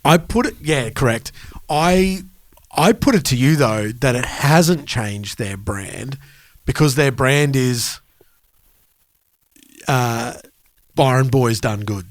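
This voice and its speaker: A man's voice: mid-range voice, lively intonation, slow, pauses often, no echo. A man with an Australian accent talking casually as a podcast host, informal and emphatic, with some swearing. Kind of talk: casual chat.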